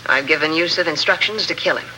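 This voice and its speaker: High-voiced, suspicious intriguer. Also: male voice, fast talker, bright, forceful tone, a little hiss or hum, rough recording. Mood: cool suspicion. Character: suspicious, coolly polite doctor in an intrigue ring. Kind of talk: 1950s radio drama acting. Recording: old radio recording.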